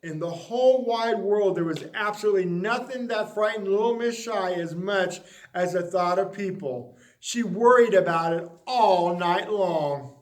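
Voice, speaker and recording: High-voiced man reading a storybook, echoey room. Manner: dramatic, with drawn-out emphasis and repeated words. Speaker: story reader. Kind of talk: expressive children's storybook narration.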